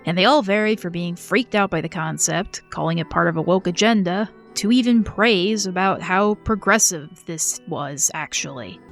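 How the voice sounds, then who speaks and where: A woman's voice: fairly low pitch, moderate pace, hardly any pauses, faint music behind. Earnest woman narrating a video essay.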